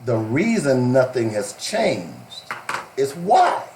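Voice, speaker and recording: A man co-hosting a talk radio show, teasing and interjecting, with dramatic pitch leaps. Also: huge pitch swings, slow pace, a little mellow. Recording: roomy, faint background noise.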